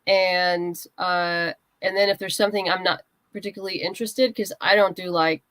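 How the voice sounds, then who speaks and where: A woman's voice: on the low side, steady tone, moderate pace, fairly bright tone, dry recording. A woman chatting casually as an interview guest, giving practical advice in a conversational way. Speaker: guest writer and comics creator.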